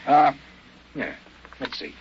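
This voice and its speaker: Hesitant hired hunter, muffled radio drama. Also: male voice, medium pitch, very animated, takes it slowly. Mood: musing. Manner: hesitant, musing. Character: seasoned licensed killer, polite, hesitant, quietly brooding man. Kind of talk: old-time radio drama acting. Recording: muffled, noisy old radio recording.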